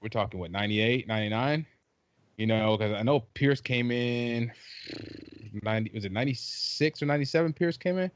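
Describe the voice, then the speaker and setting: A middle-aged man from Trinidad, raised in South Carolina, chatting casually as a podcast guest in a jokey, animated way with many restarts. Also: mid-range voice, fairly quick, smooth, no room sound, lo-fi sound. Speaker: podcast guest, basketball writer. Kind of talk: casual chat.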